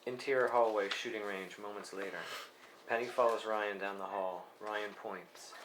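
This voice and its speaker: A man's voice: fairly low pitch, fairly even intonation, moderate pace, very noisy. A man narrating scene headings and action lines from a screenplay at a table read. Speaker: stage-direction narrator.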